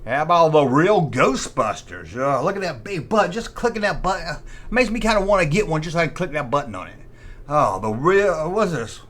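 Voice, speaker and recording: A man's voice: a little high, big pitch swings, a little fast, fairly bright tone. A man hosting a vintage toy show, chatting informally and nostalgically and often repeating himself. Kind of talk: rambling nostalgic commentary on collectible toys.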